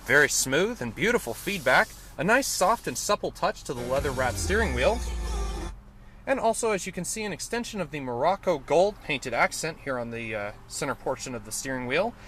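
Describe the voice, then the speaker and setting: Enthusiastic male car reviewer, fairly high and animated, faint outdoor hum. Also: normal speed, soft background music. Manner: enthusiastic, detailed, occasional self-corrections. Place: inside and around a car, outdoors.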